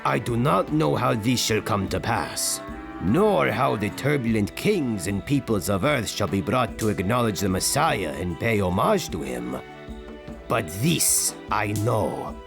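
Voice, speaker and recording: Slow, creaky old rabbi. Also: male voice, on the low side, big pitch swings, music in the background, full character voice. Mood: grave, reassuring. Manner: slow, gentle, oracular. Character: venerable old Hebrew rabbi, wise and kindly. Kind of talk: dramatic audio drama acting.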